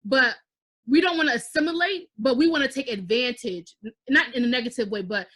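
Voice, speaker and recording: A young American woman hosting an interview over a video call from her living room, talking in an animated way and slipping into Haitian Creole. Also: a little high, brisk. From United States. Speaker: host. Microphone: video call webcam.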